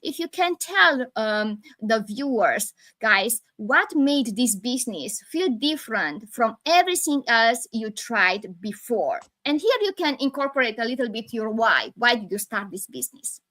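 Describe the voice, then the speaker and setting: Woman, Romanian accent, forties, live call host, bright and highish voice, halting restarts. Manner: animated, halting with restarts and laughs. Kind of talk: live video call chat. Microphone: video call.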